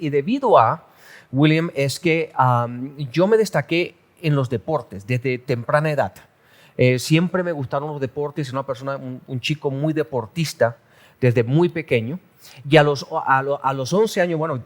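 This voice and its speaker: A middle-aged man from the Canary Islands, a pastor telling his life story in a relaxed interview, talking with many restarts and little jokes. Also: on the high side. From Canary Islands, Spain. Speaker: pastor and guest. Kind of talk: casual testimony interview.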